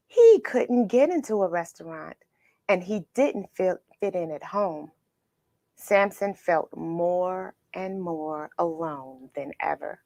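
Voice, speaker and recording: A woman reading a children's story aloud, expressive and dramatic, with pauses for effect. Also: on the low side, creaky, dry recording. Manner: expressive, dramatic pauses, asks the listener questions. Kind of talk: animated read-aloud storytelling.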